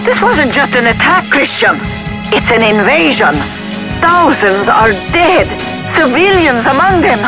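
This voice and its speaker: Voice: high voice, very animated, a little slow, over music. An actor playing a stern government secretary in a sci-fi motion comic, grave and authoritative. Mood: grave, urgent. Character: stern, urgent government secretary, military superior.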